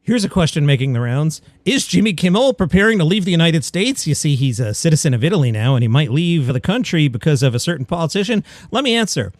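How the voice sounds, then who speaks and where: A man's voice: a little high, big pitch swings, quick pace, few pauses, no room sound. A man hosting a comedy news podcast, reading stories and adding wry, opinionated asides. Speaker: podcast host. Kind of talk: comedy news commentary, partly scripted.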